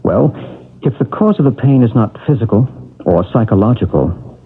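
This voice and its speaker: An actor playing a calm, gently persuasive psychic healer in a 1970s radio drama, measured and probing. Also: male voice, medium pitch, breathy edge. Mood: probing, assured. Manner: measured, soothing, questioning. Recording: muffled, lo-fi old radio broadcast.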